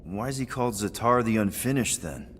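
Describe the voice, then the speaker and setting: A man's voice: medium pitch, a little fast, fairly bright tone, slight background noise, close to an everyday voice. A man playing a casual, wry young space-outlaw team leader in a video game cutscene. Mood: wry, sceptical. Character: wisecracking young human male space-outlaw team leader, laid-back.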